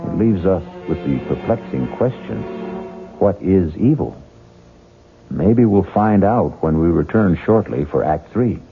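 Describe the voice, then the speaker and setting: A man hosting and narrating a 1970s radio drama in a deliberate, theatrical, brooding manner. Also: deep voice, expressive, creaky. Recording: narrow vintage radio, music behind.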